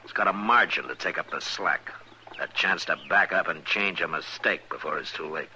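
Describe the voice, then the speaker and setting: An American actor playing a firm, steady Old West marshal in a radio western, with a dry, measured, authoritative delivery. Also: male voice, low-pitched, rough recording. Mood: firm, warning. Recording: old radio broadcast with background hiss.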